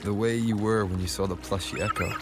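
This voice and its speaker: A man playing a kind young adventurer hero in a video game, calm and encouraging in manner. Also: lowish voice, fairly quick, close to an everyday voice. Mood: calm, warm. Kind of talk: scripted video game voice acting. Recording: music under the voice.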